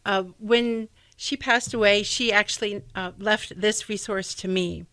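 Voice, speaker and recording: A woman's voice: medium pitch, steady tone, a little slow, sharp tone, clear, resonant tone, dry recording. A woman explaining things articulately in a radio interview. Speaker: radio show cast member and history writer.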